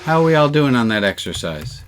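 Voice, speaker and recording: A male rabbi with a mid-pitched voice leads an interactive, informal service. He talks conversationally, jokes lightly and encourages participants as he guides them through exercises. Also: big pitch swings, brisk, a little hiss or hum. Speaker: rabbi leading an online service.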